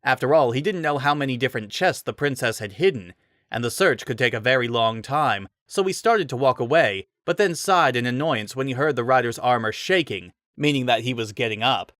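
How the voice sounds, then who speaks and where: A man's voice: mid-range voice, expressive, a little fast, a bit bright, no echo, studio quality. A man giving a dramatic reading of a fantasy story as narrator.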